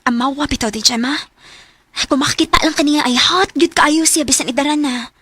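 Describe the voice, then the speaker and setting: A woman's voice: high voice, fairly quick, a bit bright, no echo. A woman playing a playful young daughter in a radio drama, speaking with a Cebuano accent. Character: playful young adult daughter smitten with older man. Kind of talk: Filipino radio drama acting.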